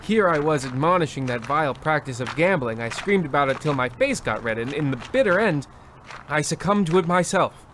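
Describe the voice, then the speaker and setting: A man playing a pompous, excitable husband in a mock old-time radio comedy, theatrical and stammering when flustered. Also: fairly high pitch, brisk, noisy background, close to an everyday voice. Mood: flustered, grandiose. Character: Percival, pompous, excitable husband with a gambling weakness.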